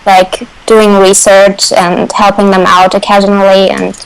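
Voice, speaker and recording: A woman talks informally as part of a fan discussion panel. Her voice is mid-range in pitch, and she shares her thoughts in an unscripted, conversational way. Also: little pitch movement, measured pace, clean tone, poor audio. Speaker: radio show panelist.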